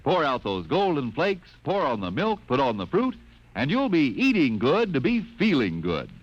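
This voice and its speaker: Old radio ad guy, folksy salesman patter, huge pitch swings, muffled lo-fi sound. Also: huge pitch swings, moderate pace. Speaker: radio commercial announcer. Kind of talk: 1950 radio commercial pitch. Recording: dull lo-fi 1950 radio transcription.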